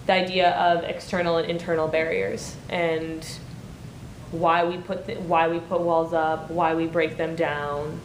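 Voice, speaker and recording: A woman's voice: flat delivery, a little slow. Chatty young woman in echoey room. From Michigan, United States. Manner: chatty, full of "like" and "um", thinking aloud. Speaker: playwright and director. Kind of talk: casual interview chat. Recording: roomy echo, faint rumble.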